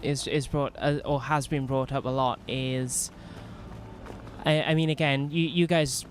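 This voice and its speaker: A young man from Wales with a softened Welsh accent, streaming a role-playing game in rambling, self-mocking chat full of restarts. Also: mid-range voice, fairly even intonation, unhurried, soft background music. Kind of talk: casual in-character role-play commentary.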